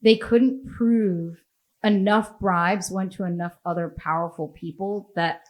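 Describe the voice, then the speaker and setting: A woman's voice: lowish voice, steady tone, measured pace. An American woman hosts a casual podcast chat. She talks bluntly, swears freely and breaks into laughter often. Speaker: podcast host.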